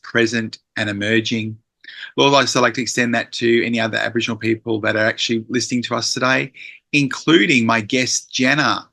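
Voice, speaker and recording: A man's voice: mid-range voice, creaky, pushed and bright. A man hosting a podcast interview, upbeat and friendly as he asks questions. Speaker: podcast host.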